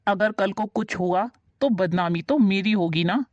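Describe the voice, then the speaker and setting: A woman voicing a stern, controlling middle-aged uncle in an animated family drama. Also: mid-range voice, measured pace, no echo, dull audio, close to an everyday voice. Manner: stern, dismissive, laying down rules.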